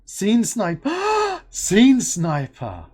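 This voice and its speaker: A livestream host chatting playfully with a guest and reading chat aloud, bubbly and expressive. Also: mid-range voice, husky. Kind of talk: live-stream interview and chat reading.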